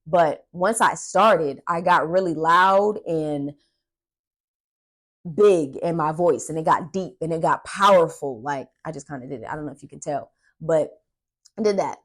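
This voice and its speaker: Low-pitched young woman telling stories casually. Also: unhurried. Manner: animated, dramatic, acts out dialogue and emphasizes words. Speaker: podcast host and aspiring actress. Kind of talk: casual storytelling vlog chat.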